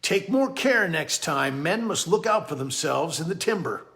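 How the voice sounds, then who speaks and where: A man's voice: on the high side, expressive, normal speed, fairly bright tone. A man reading the part of a kindly, plain-spoken farmer father advising his son in a storybook. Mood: cheerful, reassuring.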